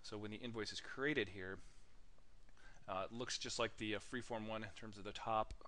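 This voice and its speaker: Male software demonstrator, lowish voice, informal tutorial delivery, halting with frequent filler sounds. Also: fairly even intonation. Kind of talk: casual software tutorial narration.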